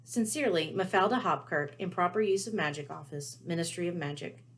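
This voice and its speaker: A woman's voice: on the low side, brisk, a bit bright. Woman as a prim, officious ministry letter-writer. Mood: brisk, bright sign-off. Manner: officious, clipped, formal. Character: prim female ministry official reading a stern warning letter.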